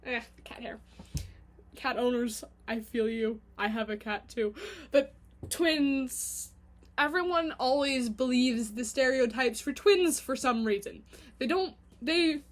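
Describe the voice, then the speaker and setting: A young woman with a Canadian accent, talking to her camera at home in a chatty, self-mocking, rambling monologue. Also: high-pitched. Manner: chatty and self-mocking, quick giggly asides. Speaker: vlogger.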